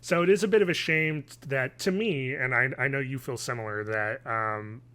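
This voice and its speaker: Guy in his 30s, bright voice, casual podcast cohost, kinda halting, on a video call. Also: medium pitch. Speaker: podcast co-host. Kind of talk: casual review chat. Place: home living room, video call.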